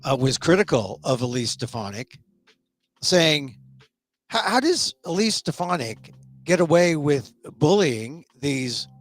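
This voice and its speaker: A middle-aged man hosting a solo political commentary show, opinionated and a little sniffly, often repeating words as he starts a thought. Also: a little high. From United States. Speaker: talk show host.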